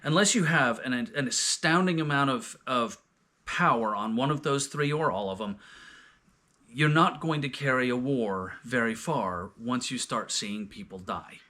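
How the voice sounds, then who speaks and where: Bright-voiced man, halting casual talker. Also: mid-range voice. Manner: halting, searches for words, trails off and restarts. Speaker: host of a scripture reading discussion. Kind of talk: casual unscripted discussion.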